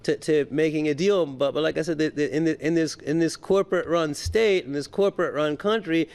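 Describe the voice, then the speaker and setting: A man's voice: a little high, a little fast, darkish tone. A man giving unscripted political commentary as an interview guest, stammering and often repeating his words as he goes.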